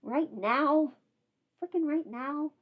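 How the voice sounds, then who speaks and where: A woman's voice: high-pitched, takes it slowly, phone-quality sound, background noise. A woman chatting informally on a livestream, rambling and thinking aloud as she goes. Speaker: livestream host, audio creator. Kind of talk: casual livestream chat.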